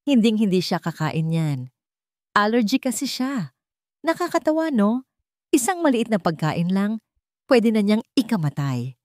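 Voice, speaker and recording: Woman acting a scheming, sugary rich young woman, higher-pitched. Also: sing-song, measured pace, pauses often, a little mellow, dry recording, clean studio sound. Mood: taunting. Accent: Filipino. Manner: sugary, needling.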